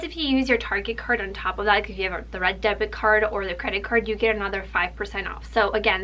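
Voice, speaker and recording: Young woman, russian first language, bright kinda high voice, chatty and excited about her new books. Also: low-quality recording. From Ukraine. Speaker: book vlogger. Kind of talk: casual book haul chat.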